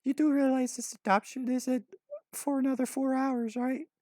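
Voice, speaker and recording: A man voicing a sarcastic, put-upon nineteen-year-old orphan girl in an exaggerated falsetto for a story reading. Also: little pitch movement, moderate pace, darkish tone, no room sound, studio quality. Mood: dry.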